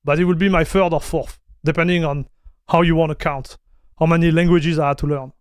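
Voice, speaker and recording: Male streamer, high voice, smooth and dry, casual chat. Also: steady tone, brisk, dry recording. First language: French. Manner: chatty, laughs often, talks straight to chat. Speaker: streamer reacting to tech news.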